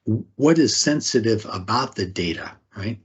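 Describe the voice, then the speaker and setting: A man's voice: lowish voice, animated, normal speed, husky, muffled recording. A man leading a talk on privacy, posing rhetorical questions and often tagging them with "right?". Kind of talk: conversational presentation.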